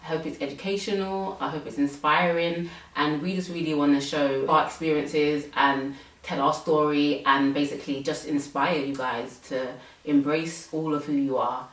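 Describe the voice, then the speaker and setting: Low-voiced young woman, chatty. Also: fairly even intonation, normal speed, few pauses, recorded from a distance. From England. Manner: chatty, many fillers, laughs often. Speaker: host. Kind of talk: casual chat. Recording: roomy, from off mic.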